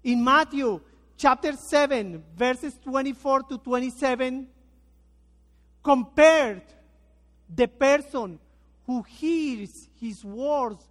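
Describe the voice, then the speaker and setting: Slow-paced preacher in a church. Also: male voice, extremely high pitch, a bit bright. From Colombia. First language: Spanish. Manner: earnest, deliberate, reads scripture slowly with emphasis. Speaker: guest preacher. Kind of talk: sermon. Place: church sanctuary. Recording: reverberant church sanctuary.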